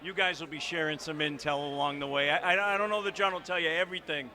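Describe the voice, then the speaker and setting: A man's voice: on the high side, steady tone, quick pace, pushed and bright. A man working as a reporter, interviewing young players in a chatty, upbeat way on a handheld microphone. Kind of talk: on-camera interview coverage.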